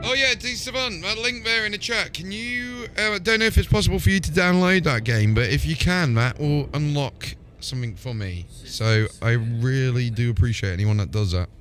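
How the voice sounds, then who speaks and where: A man's voice: fairly high pitch, normal speed, hardly any pauses. A man hosting a game livestream, chatting casually in a laid-back, sweary way. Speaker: game streamer and host. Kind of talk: casual livestream chat over gameplay. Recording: music playing behind the voice. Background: music.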